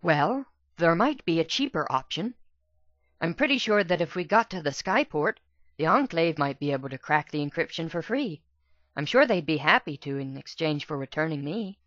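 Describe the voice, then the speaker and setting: A woman's voice: deep voice, lively intonation, normal speed, a bit bright, no room sound, muffled recording. A woman playing a polite, hesitant young female pony who is unsure of herself and tends to stammer. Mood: tentative. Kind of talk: dramatic reading of fan fiction.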